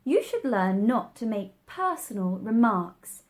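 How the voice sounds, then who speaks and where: A woman voicing a prim, curious little girl who is proper, emphatic and quick to object, in a storybook reading. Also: fairly high pitch, big pitch swings. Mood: indignant.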